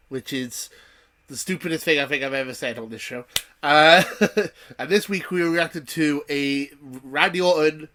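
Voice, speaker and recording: A man's voice: fairly high pitch, pushed and bright. Chatty man with a nasal cold. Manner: chatty, jokey, thinks aloud with fillers. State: has a cold, sounds nasal. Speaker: reaction show host. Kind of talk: casual watch-along commentary.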